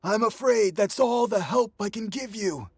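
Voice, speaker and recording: A man playing a frightened, excitable housekeeper in a video game, exclaiming with melodramatic alarm. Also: high voice, normal speed, gravelly. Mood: fearful, flustered. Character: frightened, excitable male housekeeper of a lord's estate. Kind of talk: theatrical game cutscene acting.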